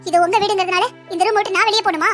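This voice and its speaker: A very high, bright voice of a woman playing a defiant young cartoon bride. She speaks rapidly and confrontationally, full of resentment. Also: steady tone, faint music behind. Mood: resentful, angry. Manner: sharp, confrontational. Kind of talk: Tamil cartoon drama dialogue.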